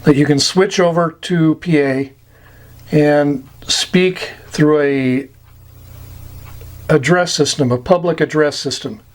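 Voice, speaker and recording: American man explaining terms, slightly high voice. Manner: halting, pausing delivery in short phrases, plain-spoken. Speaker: instructor explaining CB radio terms. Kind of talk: informal explainer, glossary-style lesson.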